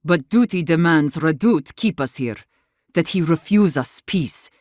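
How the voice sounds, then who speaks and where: Video game outcast leader: female, battle-hardened and defiant, lower-pitched, commanding delivery, dry close studio sound. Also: lively intonation, measured pace, a little mellow, dry recording. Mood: grave, frank. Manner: blunt, commanding, swearing. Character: defiant, blunt, battle-hardened woman leading exiled outcast wardens. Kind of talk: video game character dialogue.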